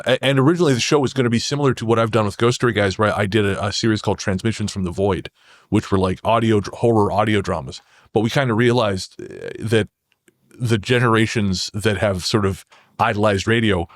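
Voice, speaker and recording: A man's voice: lowish voice, a little fast, no room sound, studio quality. A man talking conversationally as a podcast guest, often repeating and rephrasing as he goes. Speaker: podcast guest, horror fiction writer. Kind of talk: conversational interview talk.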